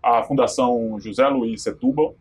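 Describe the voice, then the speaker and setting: A man's voice: mid-range voice, wildly swooping pitch, normal speed, gravelly, a little mellow, slightly rough. A man hosting a podcast, introducing guests in a casual, conversational way. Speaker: podcast host.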